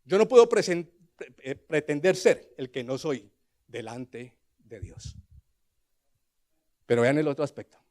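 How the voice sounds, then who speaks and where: A man in his sixties with a Colombian accent preaching a sermon, telling scripture as a dramatic story and moving between hushed asides and loud, emphatic declarations. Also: on the high side, very animated, unhurried, long pauses. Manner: rhetorical questions to the congregation.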